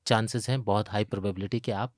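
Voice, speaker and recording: An Indian man speaks in a fairly low voice, recorded close and dry. He explains like a financial expert in an interview, walking through examples and putting questions to his listener. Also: steady tone, quick pace, no room sound. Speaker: retirement expert, pension fund investment chief.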